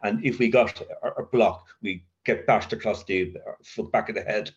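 An Irish man sharing his recovery story, halting, with stammer blocks and many restarts. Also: lowish voice, dull audio. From Ireland. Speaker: speaker at a recovery meeting. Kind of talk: personal recovery share.

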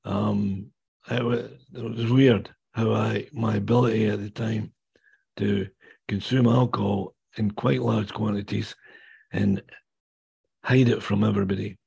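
A retired older man talks through his own past in a rough, gravelly voice that catches and breaks. His speech is halting and plain, full of pauses and fresh starts, and it stays flat and low throughout. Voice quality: raspy, gravelly voice. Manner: plain, halting storytelling with many ums and restarts. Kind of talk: personal recovery testimony.

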